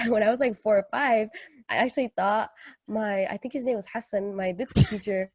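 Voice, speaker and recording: A young woman co-hosting a podcast, originally from Kenya. She tells personal stories in informal, slangy language with a joking manner, at a middle pitch. The sound is dry and close, with no room echo. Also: fairly even intonation, normal speed, slightly rough. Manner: informal slangy talk, jokey. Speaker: podcast co-host. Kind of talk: casual chat, personal storytelling.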